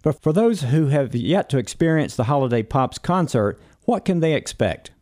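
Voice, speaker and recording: A man hosting a morning broadcast interview, speaking in a smooth, measured way with a few hesitant fillers. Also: on the high side, animated, a little mellow. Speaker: morning show host.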